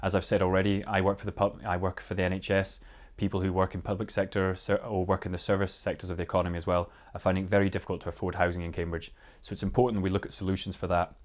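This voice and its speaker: A man's voice: low-pitched, little pitch movement, very fast, hardly any pauses. A man giving an earnest political answer as a candidate, sometimes stumbling and restarting. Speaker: parliamentary candidate, NHS worker. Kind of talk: hustings answer, political speech.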